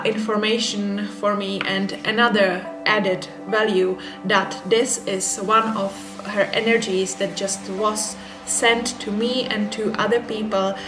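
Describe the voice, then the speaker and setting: A woman's voice: mid-range voice, steady tone, slow. A woman with a Czech accent, a native Czech speaker talking in English, casually reviewing a book with many hesitant fillers and repeated words for emphasis. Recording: echoey room with background music. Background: music.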